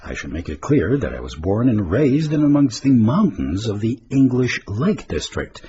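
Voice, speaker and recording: Animated low-voiced male presenter, dull radio recording. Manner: chatty, hesitant, meandering with long asides. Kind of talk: informal listener-mail segment, reading and commenting.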